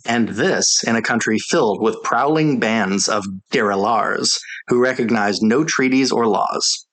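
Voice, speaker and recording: A man's voice: lowish voice, a bit bright, low-quality recording. A man reading a soldier's memoir aloud as scripted audiobook narration.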